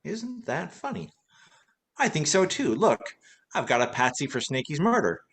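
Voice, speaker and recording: A man's voice: on the high side, sing-song, normal speed. A man playing a wry, wisecracking, tipsy former detective in a vintage-style radio play. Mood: cool, scheming. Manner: wisecracking, teasing.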